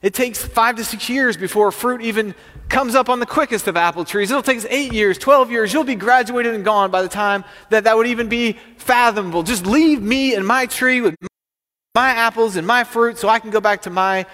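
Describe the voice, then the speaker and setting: A man's voice: on the high side, big pitch swings, a little fast, few pauses, bright, forceful tone, husky, exaggerated voice. A man voicing a proud, sarcastic farmer in a folksy, scolding manner while telling a story. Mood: dismissive, exasperated. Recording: long reverberant hall.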